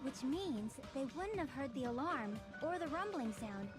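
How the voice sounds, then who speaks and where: An earnest teenage girl in an anime game. She speaks in a high voice with formal, careful phrasing, and music plays behind her. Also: sing-song, normal speed. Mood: reasoning. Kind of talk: anime video game voice acting.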